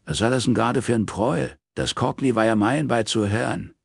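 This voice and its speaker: A man's voice: medium pitch, fairly quick, gravelly, breathy edge, dry recording, clean studio sound, pitched above the performer's own voice. A man voicing a blunt, jovial Cornish friend in an audiobook, teasing and unvarnished.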